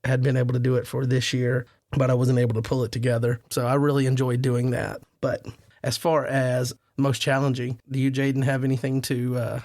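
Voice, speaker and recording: Male podcast host, casual rambling storyteller with a self-deprecating streak, narrow pitch range, dry close sound. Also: level tone, a little fast, darkish tone, no echo. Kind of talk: casual roundtable chat.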